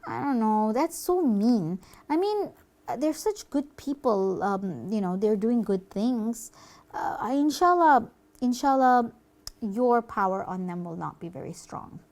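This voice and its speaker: A woman's voice: on the high side, expressive, a little slow, darkish tone. A devout, earnest puppet-show character, voiced by a woman, who talks as a believer standing up to evil. The delivery is reproachful and disapproving, like everyday speech addressed to a misbehaving opponent. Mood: troubled, gentle. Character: earnest, disapproving pious believer scolding a demon.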